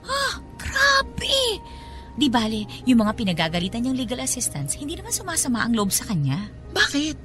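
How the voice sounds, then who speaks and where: Chatty young filipina office girl, radio drama, super animated and gossipy, music behind. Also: on the high side, full character voice. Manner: gossipy, exclamatory. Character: chatty, excitable young female office worker.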